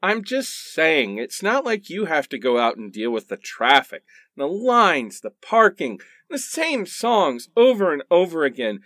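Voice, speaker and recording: Guy playing whiny defensive husband in a radio play, kinda high voice, really animated. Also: measured pace, no echo, studio quality. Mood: weary, sarcastic. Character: defensive, exasperated husband bickering with his wife.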